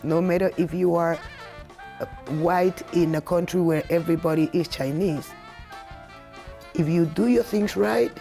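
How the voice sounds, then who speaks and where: A woman's voice: lowish voice, measured pace, creaky, long pauses, dark tone, faint music behind. A woman from Spain, a native Spanish speaker, answering interview questions emphatically, repeating herself and saying "you know" often. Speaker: interviewed singer.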